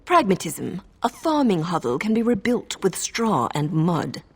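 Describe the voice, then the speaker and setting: Snooty calculating city ruler in a fantasy game, creaky rasp, cold and proper. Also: female voice, on the low side, very animated, measured pace, darkish tone, exaggerated voice. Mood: cool, disdainful. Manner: imperious, cold, formal. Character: haughty, calculating noblewoman who rules a city. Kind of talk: scripted fantasy game dialogue.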